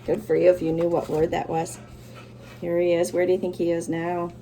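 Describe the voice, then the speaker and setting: A woman's voice: lowish voice, completely flat delivery, a little fast, creaky, mellow, slight background noise, low-quality recording. A woman teacher doing a children's read-aloud, with simple, encouraging explanations for young listeners.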